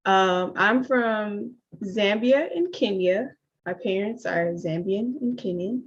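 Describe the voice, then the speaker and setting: A woman's voice: medium pitch, animated, takes it slowly, breathy edge. A woman from Mississippi, the school's owner hosting a live online open house in a chatty, upbeat way with lots of "um" and "like.". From Jackson, Mississippi, USA. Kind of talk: casual live presentation. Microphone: video call. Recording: slightly dull lo-fi call audio.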